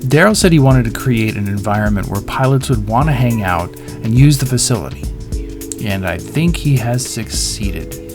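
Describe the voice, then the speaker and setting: A man's voice: lowish voice, animated, moderate pace. A middle-aged man reading a scripted voice-over as a pilot narrating an airport review. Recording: voice-over with music underneath. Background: background music.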